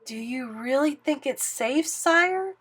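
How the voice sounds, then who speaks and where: A woman's voice: sits high, expressive, slow pace, fairly bright tone, voice pushed up, full character voice. A woman voicing an earnest young page boy who sounds worried and urgent, often calling out. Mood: doubtful.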